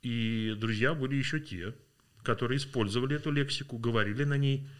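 A man from Russia talks as a podcast guest, reflective and unhurried in thought, often pausing on filler sounds. He sounds worn out at the end of a working day. His voice sits at an ordinary middle pitch. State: tired. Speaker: linguist guest. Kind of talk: podcast interview.

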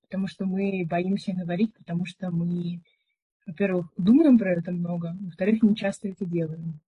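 Young woman, husky tone, talkative storyteller full of fillers; muffled, dull recording. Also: fairly low pitch, fairly even intonation, moderate pace. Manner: chatty storyteller, many fillers. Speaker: podcast co-host. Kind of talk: casual chat.

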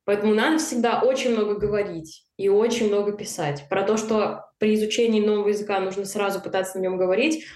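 Woman language teacher rattling along quick and emphatic, room echo all around her. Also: medium pitch, steady tone, darkish tone. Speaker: German teacher. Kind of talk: casual podcast chat.

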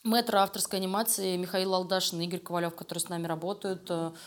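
A woman's voice: on the low side, level tone, fast talker. Woman in conversational, emphatic interview talk. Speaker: interview guest, animation studio creative director.

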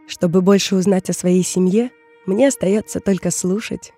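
A woman's voice: mid-range voice, steady tone, moderate pace, mellow, soft background music. A woman narrating a scripted personal audio essay in a reflective, contemplative way. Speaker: podcast narrator.

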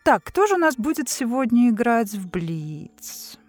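A woman's voice: fairly high pitch, big pitch swings, measured pace, no room sound, faint music behind. A woman hosting a quiz game, moving between reading prepared questions and chatting with players. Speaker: quiz host. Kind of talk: game show hosting, half scripted.

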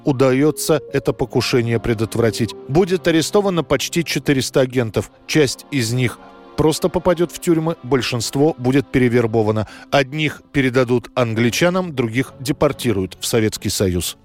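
A man narrating a scripted history programme in a measured, storytelling way. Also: mid-range voice, lively intonation, soft background music.

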